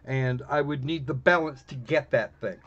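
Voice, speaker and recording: A man's voice: medium pitch, normal speed, fairly bright tone. A man hosting a live game stream with casual, snarky, rambling commentary. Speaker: livestream host playing games. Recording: muffled, dull band-limited sound. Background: faint game music.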